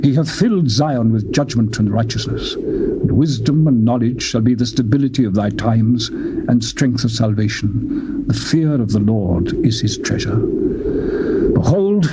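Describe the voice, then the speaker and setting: A British man giving a solemn, declamatory dramatized scripture reading, rising to soaring lines and dropping to hushed ones. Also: mid-range voice, lively intonation, measured pace, heavy background noise. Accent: British English. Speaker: scripture reader.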